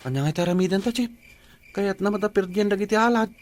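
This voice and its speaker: A timid, superstitious night watchman, acted by a man for Ilocano radio comedy. He speaks in a high voice with an Ilocano accent, nervous and pleading toward those around him. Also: quick pace, a little mellow, natural voice. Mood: anxious, pleading. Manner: nervous, deferential. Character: timid, superstitious junior village watchman, easily spooked.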